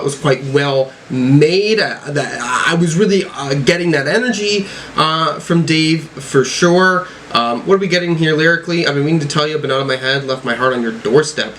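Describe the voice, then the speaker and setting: A man giving casual music reaction commentary, halting as he thinks aloud. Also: on the high side, fairly bright tone, a little hiss or hum. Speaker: music reviewer reacting to songs.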